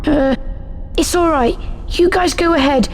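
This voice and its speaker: A woman's voice: sits high, a little slow, big-room sound, slight background noise. A woman voicing a timid, hapless young schoolboy wizard in a video game, meek and hesitant. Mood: shy. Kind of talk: scripted video game acting. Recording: music behind game dialogue.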